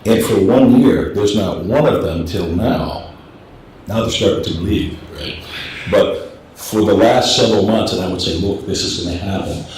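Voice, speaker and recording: Deep-voiced man in an echoey room. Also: moderate pace, darkish tone, a little hiss or hum. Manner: candid, plain-spoken, frequent fillers and restarts. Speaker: business presenter. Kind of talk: informal business presentation. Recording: echoey room, speaker far from the mic.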